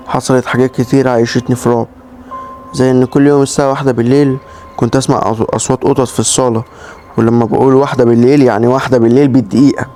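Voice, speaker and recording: A man's voice: mid-range voice, warm tone. A man with an Egyptian Arabic accent telling a first-person horror story in a dramatic, tense scripted narration.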